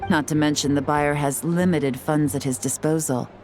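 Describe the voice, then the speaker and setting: Actress doing a cool lady spy type from a game, deep for a woman, calm n in control. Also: normal speed, soft background music, natural voice. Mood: cool, calculating. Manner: calm, assured, smooth-talking. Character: cool, composed, shrewd adult female operative. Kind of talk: video game voice acting.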